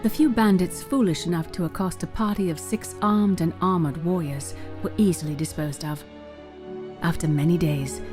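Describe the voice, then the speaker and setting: A woman's voice: fairly low pitch, a little mellow. A woman narrating a fantasy story in a scripted, dramatic storytelling style. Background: faint music.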